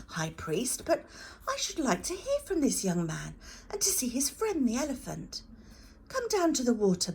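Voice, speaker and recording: Storybook goddess, woman performing: soft, regal, measured, kindly commanding, very melodic delivery. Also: medium pitch, a little hiss or hum. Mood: calm authority. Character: gracious, gently authoritative lake goddess.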